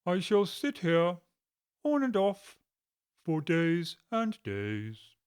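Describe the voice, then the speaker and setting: An actor playing a stubborn, unbothered frog footman who speaks slowly and pedantically. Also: sits high, clean tone, exaggerated voice. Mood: stolid. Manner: slow, flat, unbothered, pedantic. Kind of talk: audiobook drama acting.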